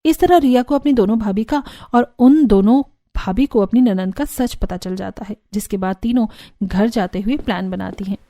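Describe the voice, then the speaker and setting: A woman's voice: mid-range voice, moderate pace, dark tone, dry recording, studio quality, soft background music. A woman narrating a scripted cartoon family story.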